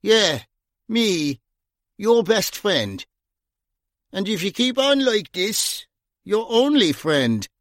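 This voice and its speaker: A man doing Bunny, a loud, blunt bruiser. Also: high-pitched, very animated, slow, long pauses, dry recording, clean studio sound, voice pushed up, exaggerated voice. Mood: scolding. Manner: loud, sharp, cutting. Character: blunt, forceful Dublin man in his prime.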